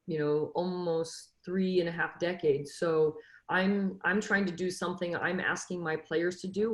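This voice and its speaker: Earnest low-voiced woman on a video call. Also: reverberant. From Northern California. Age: 50s. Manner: earnest, motivational, frequent fillers and restarts. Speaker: football coach, webinar panelist. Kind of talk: casual panel discussion.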